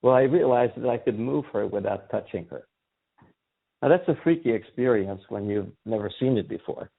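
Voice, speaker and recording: Gravelly low-voiced man from Yugoslavia. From Yugoslavia, in a Hungarian family. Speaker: guest, energy healer. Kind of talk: conversational storytelling in an interview. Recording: thin phone-like sound, faint music.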